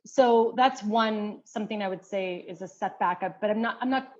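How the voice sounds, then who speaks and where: A woman's voice: mid-range voice, moderate pace, lo-fi sound. A middle-aged woman from the United States, an anthropologist answering interview questions in a thoughtful, casual way with many restarts and fillers. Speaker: guest anthropologist.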